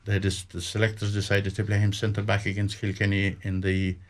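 An older man with a Limerick Irish accent, a radio guest reminiscing about old hurling matches in a halting way with many restarts. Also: fairly low pitch, completely flat delivery. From Limerick, Ireland. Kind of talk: casual radio chat about hurling history.

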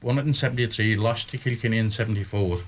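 An older man with a Limerick Irish accent, a radio guest reminiscing about old hurling matches in a halting way with many restarts. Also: fairly low pitch, a little hiss or hum. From Limerick, Ireland. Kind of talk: casual radio chat about hurling history.

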